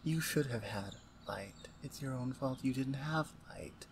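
A woman voicing a blunt, domineering orc shaman, an older sister, in a deliberate, condescending ASMR roleplay. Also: extremely low pitch, slight background noise. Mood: stern, scornful. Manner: deliberate, pointed, condescending, interrogating. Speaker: audio roleplay voice actor. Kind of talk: ASMR roleplay, one-sided dramatic dialogue.

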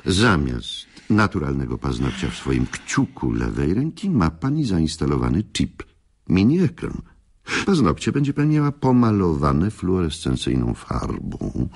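An actor playing a suave, scheming older chess trainer in a radio drama, courtly and theatrical, with a gravelly, husky voice. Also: male voice, extremely low pitch, sing-song, unhurried, a little mellow, exaggerated voice. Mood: persuasive, sly. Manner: courtly, theatrical, flowery phrasing. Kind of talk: Polish radio drama acting.

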